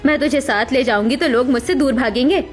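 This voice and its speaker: A woman's voice: sits high, a little fast, close to an everyday voice. A woman voicing a harsh, contemptuous middle-aged mother in a dramatic Hindi cartoon story. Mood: angry, contemptuous. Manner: scolding, contemptuous. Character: harsh, scornful middle-aged mother berating her daughter. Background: music.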